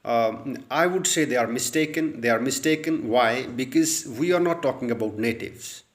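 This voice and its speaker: A man's voice: medium pitch, normal speed. A man from Pakistan with a Pakistani accent, a native Urdu speaker, giving earnest English-learning advice with frequent "uh" fillers and repeated points. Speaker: English tutor. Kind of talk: advice talk to camera.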